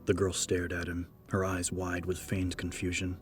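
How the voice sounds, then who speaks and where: A man's voice: sits low, monotone, normal speed. A man reading a horror story as a dramatic scripted audiobook narration, with music playing behind him. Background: game-style background music.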